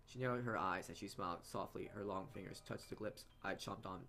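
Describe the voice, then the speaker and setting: A man's voice: low voice, a little fast, pushed and bright. A man reading visual novel narration aloud as a let's play host, stumbling and repeating words as he goes. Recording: game music behind the voice. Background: game music.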